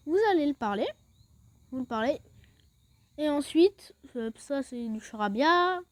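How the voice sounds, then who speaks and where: A woman's voice: high voice, animated, a little slow, no room sound. A woman giving a casual, chatty step-by-step game walkthrough, often saying "then" as she moves to the next step. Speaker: gaming video creator.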